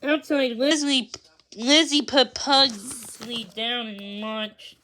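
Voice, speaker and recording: Female storybook reader, high-pitched voice, narrating for children with slightly halting delivery. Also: steady tone, bright, forceful tone, slight background noise.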